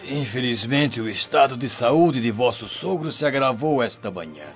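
A man's voice: medium pitch, animated, normal speed, lightly acted. A man playing an anxious servant bringing grave news in a radio drama. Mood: worried, deferential. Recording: dull lo-fi radio drama recording. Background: faint music.

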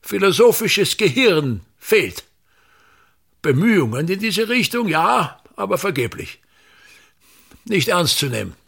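An actor playing a pompous, tyrannical older theatre manager in a radio drama, declaiming scornfully in a gravelly, husky voice. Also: male voice, sits high, big pitch swings, unhurried, pauses often, fairly bright tone, exaggerated voice. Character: pompous, tyrannical ageing male theatre actor-manager berating his son.

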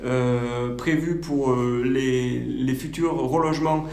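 A man playing an officious village mayor in a radio fiction, formal, placating and halting. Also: medium pitch, fairly even intonation, gravelly, a little mellow. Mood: firm, defensive. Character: officious village mayor defending his project. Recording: echoey meeting room.

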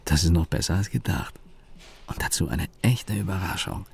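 An actor playing an employee's sardonic inner voice, narrating a radio play in dry, clipped observations. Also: male voice, sits low, normal speed, vocal fry, a little mellow, breathy edge, full character voice. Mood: dry, observant. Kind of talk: radio play narration, present-tense monologue.

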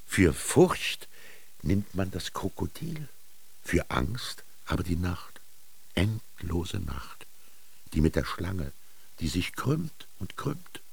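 A gravelly, very deep brooding master. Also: male voice, sing-song, exaggerated voice. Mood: brooding, intense. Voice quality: gravelly, husky. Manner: declamatory, halting, with whispered emphasis. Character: commanding, brooding male master dictating his bitter text to a scribe. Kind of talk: German literary radio drama monologue.

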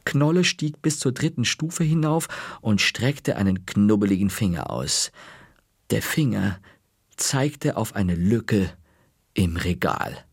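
A man narrating a children's story in an expressive, unhurried way. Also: on the low side, vocal fry, breathy edge, no echo, clean studio sound.

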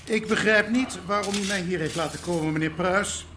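Guy playing a stuffy civil servant, high voice, officious and defensive, radio play. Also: brisk, noisy background, lightly acted. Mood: officious, dismissive. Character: prim, self-righteous male city permit official. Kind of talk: naturalistic radio drama acting.

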